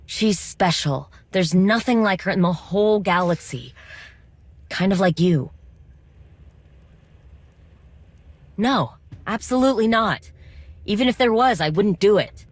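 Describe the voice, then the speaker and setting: An actress playing a determined, wry droid mechanic in a video game, speaking informally with coaxing, teasing encouragement. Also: female voice, medium pitch, animated, moderate pace, dry recording, natural voice. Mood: earnest, determined.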